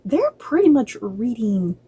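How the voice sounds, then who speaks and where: Mellow-voiced woman chatting through a review. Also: a little high, big pitch swings, a little slow, breathy edge. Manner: chatty, rambling, circles back and repeats herself. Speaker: host of a book and film review channel. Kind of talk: casual unscripted review chat to viewers.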